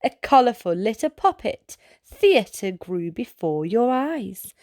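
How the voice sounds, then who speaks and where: A woman host reads a storybook to young children in a high, very lively voice. She takes her time over each phrase and throws out sound words as exclamations. The recording is close and dry. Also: no echo. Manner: slow, deliberate delivery for young children. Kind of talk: expressive read-aloud picture book narration.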